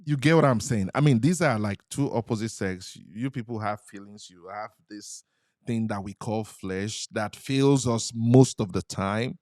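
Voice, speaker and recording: A man, a Yoruba speaker, co-hosting a podcast in casual chat, animated and acting out quoted lines with frequent laughs. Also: fairly low pitch, a little slow, dry recording, clean studio sound. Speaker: podcast co-host.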